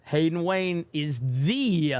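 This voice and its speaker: Slow-talking man hosting a livestream. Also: a little high, expressive, no echo. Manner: reads viewer donations aloud, swears casually. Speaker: livestream podcast host. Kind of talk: casual livestream chat.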